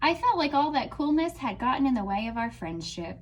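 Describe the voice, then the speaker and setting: A woman teacher reading a picture book aloud to children, pausing to talk about the story. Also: sits high, very animated, a little hiss or hum. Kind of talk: read-aloud storytime with commentary.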